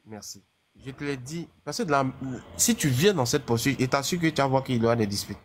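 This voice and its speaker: A man moderating an online debate, calmly restating what others have said. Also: mid-range voice, fairly quick, slight background noise. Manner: calm, restating others' points.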